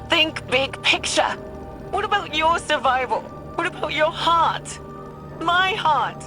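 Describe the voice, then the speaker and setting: A woman's voice: sits high, heavily acted. A woman playing an earnest, conflicted research scientist recording a scripted log in a video game. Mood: anguished.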